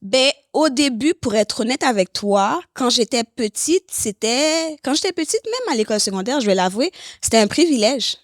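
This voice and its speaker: A woman's voice: a little high, moderate pace, hardly any pauses, dry recording, studio quality. A woman from Haiti and Canada, talking candidly as a podcast guest and slipping in English phrases. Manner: expressive, slips English phrases into French. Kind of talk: candid conversational interview.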